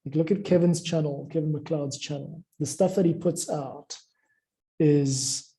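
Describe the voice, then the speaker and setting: Man with a very mellow, soft-edged voice. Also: a little high, steady tone, a little slow, poor audio. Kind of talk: informal chat about craft.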